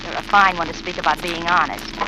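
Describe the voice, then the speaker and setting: An actress playing a sharp, spiteful young wife in a 1940s radio drama, sarcastic, scornful and needling. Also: female voice, fairly low pitch, fairly even intonation, a little fast, close to an everyday voice. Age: young adult. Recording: noisy old radio recording.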